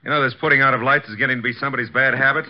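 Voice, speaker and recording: An actor playing a breezy, tough detective's assistant with a wry, slangy, flippant manner in 1950s radio drama style. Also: male voice, mid-range voice, fairly even intonation, very fast, natural voice. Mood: sardonic. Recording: dull, faintly noisy old radio recording.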